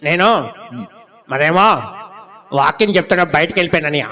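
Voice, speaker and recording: A man's voice: fairly high pitch, a little fast. A man voicing a mischievous big-brother puppet in a children's church show, grumbling and bickering with cheek. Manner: bickering, mock-scolding, cheeky. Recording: loud crowd of people around.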